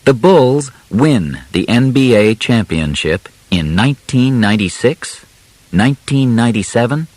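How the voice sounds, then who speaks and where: Man, scripted audiobook narration, unhurried, dry close sound, short phrases with clear pauses. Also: lowish voice, no echo, poor audio. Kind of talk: scripted narration of a simplified biography for learners.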